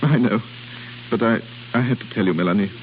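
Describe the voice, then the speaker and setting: Man acting lovesick young nobleman, earnest, halting, hurried delivery, vintage radio romance, faint hiss. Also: lowish voice, close to an everyday voice. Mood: lovesick, tearful. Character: lovesick young English lord, earnest, emotional, polite. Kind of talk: 1940s-50s radio drama acting. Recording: tinny, hissy old radio broadcast.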